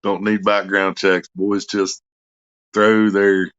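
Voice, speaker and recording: Slow-talking crude man. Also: fairly low pitch, flat delivery, dry recording, dull audio. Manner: crude joking, bursts into loud off-key singing. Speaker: vlogger. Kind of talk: casual chat at home. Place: at home.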